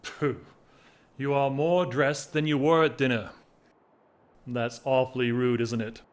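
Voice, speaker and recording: Man acting a slow-talking, whimsical gentleman. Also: medium pitch, flat delivery, lightly acted. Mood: playful, whimsical. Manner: theatrical, wordy, teasing mock-formality. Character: whimsical, restless English gentleman, an aristocrat in love. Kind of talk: stage-style audio drama acting.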